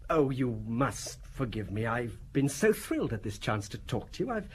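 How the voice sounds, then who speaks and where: An actor playing a courteous, obsessive middle-aged gentleman of the law in an audio drama, talking in an eager, effusive, theatrical way. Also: mid-range voice, very animated, moderate pace, a little hiss or hum, close to an everyday voice. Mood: effusive, fervent.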